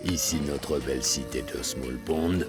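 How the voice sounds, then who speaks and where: Very deep, droning cartoon teacher. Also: male voice, a little slow, loud music behind the voice. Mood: dry, lecturing. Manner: pedantic, formal, droning. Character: dry, slow, pedantic male rotifer schoolteacher. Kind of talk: French cartoon dub acting.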